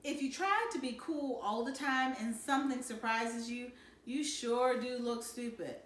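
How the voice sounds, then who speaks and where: A woman reading a children's novel aloud in an expressive storytelling style. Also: on the high side, measured pace, roomy sound. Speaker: storybook reader.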